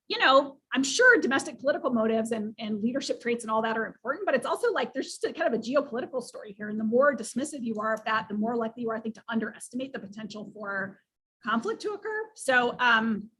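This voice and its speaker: A woman's voice: a little high, fairly bright tone, husky. A woman answering questions at an academic seminar, talking conversationally and filling her sentences with "you know" and "like". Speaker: seminar speaker.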